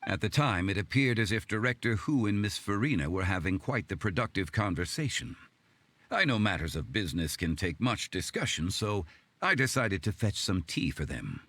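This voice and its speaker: A man voicing a calm, refined, courteous gentleman with measured, formal speech in a video game. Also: lowish voice, no echo. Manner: measured, formal, lofty phrasing. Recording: faint music behind the voice.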